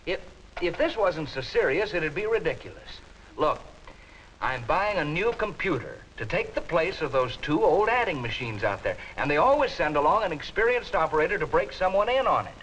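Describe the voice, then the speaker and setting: An American actor playing a brusque, patronizing middle-aged office boss in a 1950s instructional film, on a muffled, hissy soundtrack. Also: male voice, fairly high pitch, sing-song, moderate pace, fairly bright tone. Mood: exasperated, incredulous. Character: exasperated male accounting department boss, brusque and patronizing.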